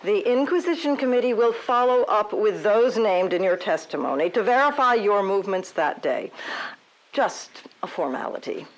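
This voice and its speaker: A woman playing a cold, officious committee inquisitor in an audio drama, questioning in a formal, deadpan manner. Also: medium pitch, moderate pace, close to an everyday voice, low-quality recording. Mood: cool, formal.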